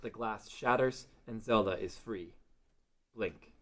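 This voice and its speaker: A man's voice: fairly low pitch, slow pace, fairly bright tone. A man reading scripted story narration as the narrator of a fantasy table read.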